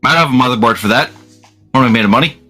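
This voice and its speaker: A man dropping wry, quick joking asides in a casual group chat. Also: medium pitch, bright, forceful tone, faint music behind.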